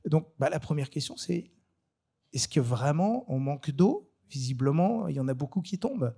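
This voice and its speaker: A man hosting an informal live panel, speaking in a hesitant, halting way. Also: fairly high pitch, lively intonation, gravelly, warm tone, breathy edge. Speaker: panel moderator.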